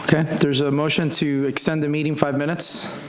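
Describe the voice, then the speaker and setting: Fast-talking man chairing a meeting. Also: on the high side, flat delivery, slight background noise. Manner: hurried, procedural. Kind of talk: running a public meeting. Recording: echoey chamber with murmuring crowd.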